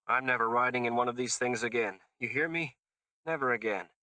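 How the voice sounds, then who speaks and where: A man's voice: mid-range voice, brisk, sharp tone, no echo, pitched above the performer's own voice, poor audio. A man voicing a frightened, bitter male air car driver who pleads and gripes. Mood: griping. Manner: pleading, griping.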